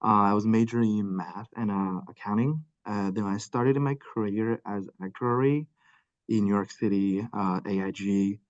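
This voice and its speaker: A man's voice: fairly low pitch, normal speed, no room sound. A man introducing himself on a panel in a plain, hesitant way with many fillers, on a video call. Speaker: panelist, accounting PhD. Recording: dull dry lo-fi sound.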